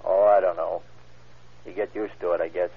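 Tough, sly male hold-up man, slangy and curt, very low husky voice, vintage radio crime drama. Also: level tone, normal speed. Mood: unrepentant, offhand. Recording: tinny, muffled old radio recording.

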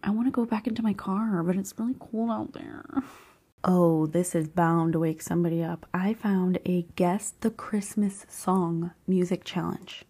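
A woman vlogging in a hushed half-whisper, slightly hoarse, reacting to quiz questions with bursts of excited disbelief. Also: on the low side, moderate pace. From Cuban family background. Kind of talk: casual chat, reading quiz questions aloud.

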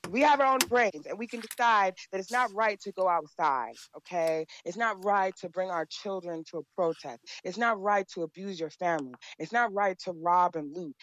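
A woman's voice: medium pitch, a bit bright, dry recording. Blunt emphatic woman on a dull line. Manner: emphatic, blunt, repeats points. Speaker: guest. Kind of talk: opinionated interview talk.

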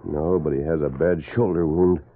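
An actor playing an upright, resolute cowboy hero in a radio western, speaking with decisive authority. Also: male voice, very deep voice, fairly even intonation, normal speed. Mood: grave, resolute. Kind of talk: old-time radio western acting. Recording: muffled, noisy vintage radio recording.

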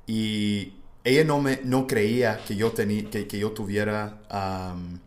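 A man's voice: lowish voice, fairly even intonation, slow. A man from the United States, a native English speaker, hosting a casual language-learning podcast. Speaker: podcast host. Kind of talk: casual educational podcast conversation.

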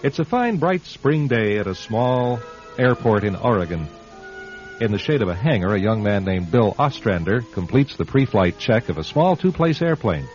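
A man narrates an old-style radio drama as its host. His delivery is measured and solemn, yet rises and falls widely. The recording is slightly dull, with faint music behind him. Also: fairly low pitch, lively intonation.

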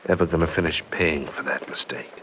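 A man's voice: extremely low pitch, fairly quick, a bit bright, a little hiss or hum, poor audio. An actor playing an impatient young man in love who stammers and repeats words, in 1940s radio acting style. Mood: earnest.

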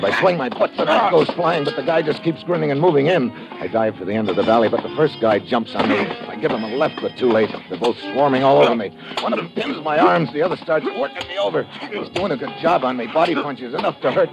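An actor playing a tough, wisecracking American adventurer, clipped and sardonic, in hardboiled old-time radio style. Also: male voice, mid-range voice, vocal fry. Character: tough, wisecracking male adventurer narrating his dangerous assignment. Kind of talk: 1950s hardboiled radio acting. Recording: dull vintage radio recording.

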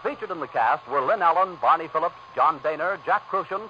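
A man's voice: a little high, a little fast. A man with an American accent, a formal 1940s radio announcer reading credits. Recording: muffled, faintly noisy old radio recording.

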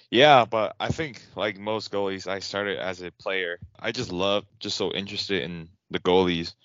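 A young man from Taiwan, guesting on a podcast, with a very low voice. He is easygoing and laughs often. His audio is dry and dull, with no room sound. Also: a little slow, fairly bright tone, dry recording. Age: young adult. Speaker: guest hockey goaltender. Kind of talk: podcast interview.

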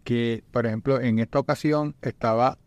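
A man talking casually among fellow writers, making measured, thoughtful suggestions. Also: medium pitch, steady tone, a little mellow, dry recording, muffled recording. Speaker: guest poet. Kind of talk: casual conversation.

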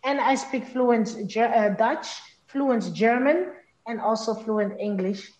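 A young woman from Congo whose first language is Lingala talks in a relaxed interview, sometimes stumbling and repeating herself. Her voice sits a little high. The room around her is echoey. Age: young adult. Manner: halting, repeats words while searching for phrasing. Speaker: interview guest, singer. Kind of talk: casual interview conversation.

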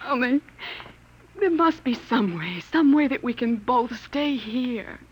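An actress playing a frightened, pleading young wife in a melodramatic 1950s radio drama. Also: female voice, fairly high pitch, expressive, slow pace, dull audio, noisy background. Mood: pleading, panicked.

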